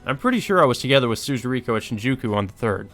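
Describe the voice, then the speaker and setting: A man's voice: mid-range voice, expressive, very fast, fairly bright tone. A man playing a dry, exasperated, terse male detective from a visual novel. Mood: dry, puzzled. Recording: music behind the voice.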